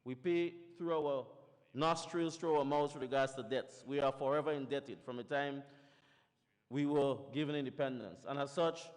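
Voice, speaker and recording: A man from the Caribbean giving a formal conference panel talk, setting out his points one by one in a measured way. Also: mid-range voice, fairly even intonation. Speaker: panel speaker. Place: large conference hall. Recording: reverberant conference hall.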